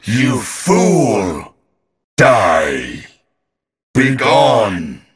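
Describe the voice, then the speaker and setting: A man voicing an imperious phantom sorcerer in a video game, raspy, pompous and coldly menacing. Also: fairly low pitch, wildly swooping pitch, drawn-out words, exaggerated voice. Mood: contemptuous, angry. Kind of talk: video game villain acting.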